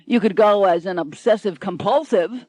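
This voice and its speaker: A woman's voice: mid-range voice, normal speed, a little mellow, dry recording, close to an everyday voice. A woman plays a friendly security guard, a man who teases in an easygoing way. The sound is dry and close. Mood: teasing. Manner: easygoing, teasing.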